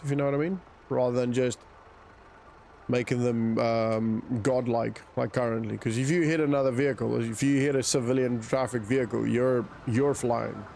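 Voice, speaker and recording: Gravelly man with a South African-British mix accent. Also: medium pitch, darkish tone, a little hiss or hum. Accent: mixed South African and British. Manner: chatty, thinks aloud, laughs easily, answers chat. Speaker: game streamer. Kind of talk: casual live gameplay commentary.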